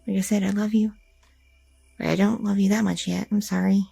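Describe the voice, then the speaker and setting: A woman playing a husky, gravelly goth girlfriend. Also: medium pitch, level tone, brisk, dry recording, faint music behind. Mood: tender, candid. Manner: soft, intimate, rambling with many fillers. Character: loving, chatty young goth girlfriend. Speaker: ASMR roleplay performer. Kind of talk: ASMR audio roleplay.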